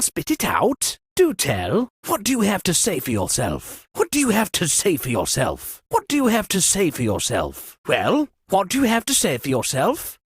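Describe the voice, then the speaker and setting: Pompous, wildly swooping murder-mystery host. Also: female voice, high voice, creaky, no room sound, clean studio sound, full character voice. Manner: theatrical, pompous, drawn-out delivery. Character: theatrical aristocratic murder-mystery party host, lordly and sardonic. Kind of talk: dramatic game voice lines.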